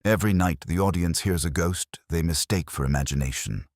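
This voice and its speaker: A man voicing a fearful, guilt-ridden man in an audiobook mystery, speaking gravely and uneasily. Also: sits low, brisk, darkish tone, no room sound, clean studio sound. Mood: weary, troubled. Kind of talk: audiobook character dialogue.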